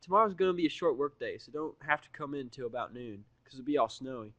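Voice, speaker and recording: Man putting on a high-pitched folksy farm mare. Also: fast talker, fairly bright tone, dry recording, lightly acted. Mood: chastened, informative. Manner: folksy, casual. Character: friendly, sheepish country farm mare.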